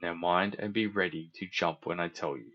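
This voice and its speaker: A man voicing a grave but soft-hearted working engine driver in a storybook, earnest, steady and kindly. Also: low-pitched, moderate pace, lo-fi sound. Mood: urgent, earnest.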